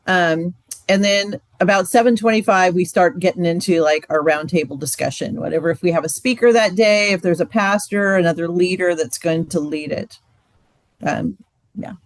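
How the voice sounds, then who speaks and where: A woman's voice: lowish voice, normal speed, no room sound. A woman co-leading a marriage ministry, answering questions casually and chattily, with many "like" and "um" fillers. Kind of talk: training session talk.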